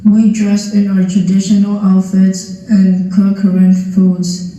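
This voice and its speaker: A young boy slowly reading his essay aloud, careful and even. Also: high-pitched. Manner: slow, careful, flat reading. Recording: video played through speakers, heard from far off in an echoey gym.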